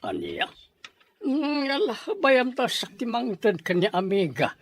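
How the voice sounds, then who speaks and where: A man acting a bossy middle-aged father in an audio drama, with a husky voice. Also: extremely high pitch, very animated, unhurried, slight background noise, heavily acted. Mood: casual, prodding. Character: bossy middle-aged parent arranging a child's marriage.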